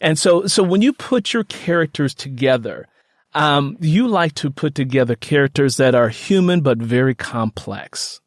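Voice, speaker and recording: A man's voice: on the high side, lively intonation, normal speed, no echo, clean studio sound. An American man hosting an interview, speaking in an earnest, reflective and discursive way with frequent filler phrases. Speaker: podcast host, interviewer.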